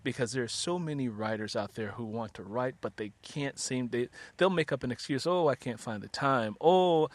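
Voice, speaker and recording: Male interviewer, conversational and wordy, medium pitch, very dry recording. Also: normal speed, hardly any pauses, no echo.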